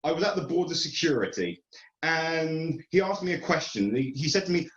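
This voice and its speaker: A man in his twenties with an English accent telling a comic personal story in a theatrical, dramatic way with deliberate pauses. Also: a little high, animated, fairly bright tone. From England. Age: 20s. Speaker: amateur storyteller at an online speaking event. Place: indoor room, online video event. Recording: roomy, slightly muffled online call.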